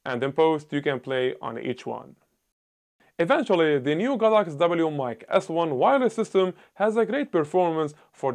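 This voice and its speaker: A man in his thirties talks loudly and emphatically through a scripted review of camera gear. His voice sits a little higher than most men's. It is picked up by a clip-on lapel mic on a small indoor set. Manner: loud, emphatic delivery.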